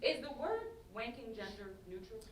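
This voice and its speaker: A woman's voice: fairly high pitch, moderate pace, crowd noise. A young woman acting a blunt, teasing, slangy best friend in a staged play reading. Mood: incredulous, teasing. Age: young adult. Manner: slangy, mocking, animated delivery. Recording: echoey distant stage recording, loud audience noise.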